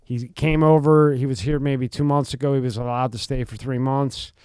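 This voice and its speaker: A man hosting a podcast in casual chat, chatty and quick to laugh. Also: medium pitch, fairly even intonation, a little mellow, clear, resonant tone, no room sound, clean studio sound. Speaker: podcast host.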